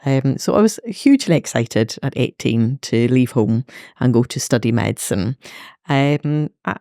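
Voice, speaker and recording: A woman's voice: extremely low pitch, darkish tone, no room sound. Thoughtful Belfast woman, dry close sound. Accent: Northern Irish, Belfast. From Northern Ireland. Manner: thoughtful, many fillers and restarts. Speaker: guest, medical educator. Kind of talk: reflective interview talk.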